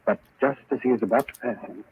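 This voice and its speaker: A man narrating a macabre tale as a grave, measured storyteller in a 1940s radio drama. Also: mid-range voice, gravelly, breathy edge. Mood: grave, atmospheric. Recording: muffled old radio recording.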